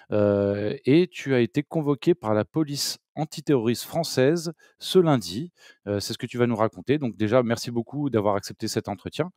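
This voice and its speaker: A man's voice: lowish voice, darkish tone, no room sound, clean studio sound. A man hosting an online interview, asking several questions in a row with frequent fillers. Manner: many fillers, stacks questions.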